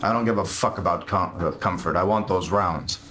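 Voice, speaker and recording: A man plays a tabletop game and speaks in casual bursts of group chat. His voice is on the low side, heard in an echoey room with clear background noise. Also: fairly quick.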